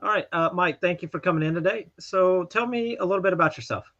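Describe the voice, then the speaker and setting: A man's voice: sits high, fast talker, fairly bright tone. A man co-hosting a live stream, playing the interviewer in a hesitant, filler-heavy way. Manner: hesitant, with fillers and repeated words. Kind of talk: casual live-stream chat and role-play interviewing. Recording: dull lo-fi sound.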